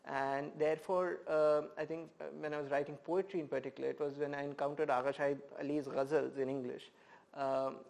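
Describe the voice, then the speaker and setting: Man from India, poet and professor, reflective interview talk, measured pace, frequent pauses, mid-pitched voice. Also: vocal fry, a little mellow. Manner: thoughtful, measured, many "uh" pauses.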